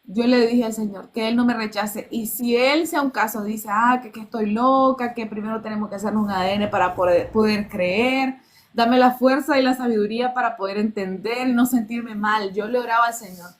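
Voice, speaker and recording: A woman's voice: fairly high pitch, moderate pace. Earnest Nicaraguan woman telling her story. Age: 30s. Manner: earnest storytelling, acts out quoted dialogue. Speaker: guest. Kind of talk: emotional personal testimony.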